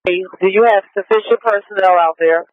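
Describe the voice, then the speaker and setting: A man's voice: high-pitched, normal speed, no echo, narrow, tinny audio. A man working as a police radio dispatcher, repeating messages back procedurally over a two-way radio scanner feed.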